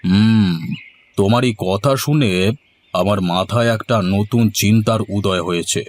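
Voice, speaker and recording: A man voicing a commanding village headman in a moral-story cartoon, speaking deliberately and with authority. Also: on the low side, darkish tone, slight background noise, close to an everyday voice. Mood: pondering, pronouncing. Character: authoritative village headman who loves good food.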